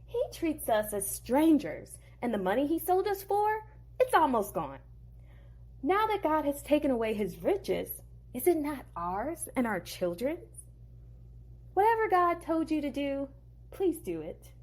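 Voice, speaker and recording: A woman's voice: sits high, very animated, unhurried, a little mellow, voice pushed up. A woman voicing Rachel and Leah, two young wives who plead with their husband. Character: two young sisters, wives, speaking together.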